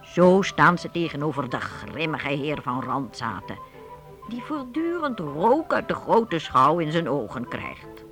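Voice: mid-range voice, sing-song, measured pace, over music. A storyteller narrating a radio play for young people, addressing listeners directly and expressively.

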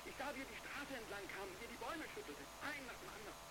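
Frightened haunted man, very high swooping voice, anxious, radio play, heavy humming line noise. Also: expressive, racing. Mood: agitated, frightened. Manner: anxious, hesitant, intense. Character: frightened, obsessed male travel writer haunted by the wind. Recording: noisy, humming telephone line.

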